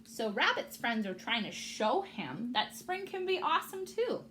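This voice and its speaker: A woman's voice: on the high side, very animated, unhurried, bright, forceful tone, a little hiss or hum. A woman who works as a teacher, reading a picture book aloud to young children and explaining things simply.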